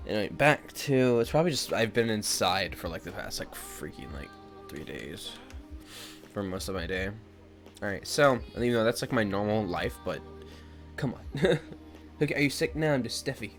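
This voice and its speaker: A young man with a stuffy nose chatting casually with viewers as he draws, rambling with lots of fillers. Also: lowish voice. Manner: rambling, filler-heavy, talks to chat. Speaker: art streamer. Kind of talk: casual chat with viewers while drawing. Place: indoors at home.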